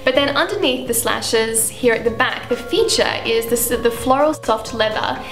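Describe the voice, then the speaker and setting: A woman's voice: on the high side, normal speed, roomy sound, over music. A young woman presenting shoes to camera in a friendly, chatty way, with frequent fillers. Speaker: shoe brand presenter. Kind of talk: product walkthrough to camera. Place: indoor room, seated in armchair.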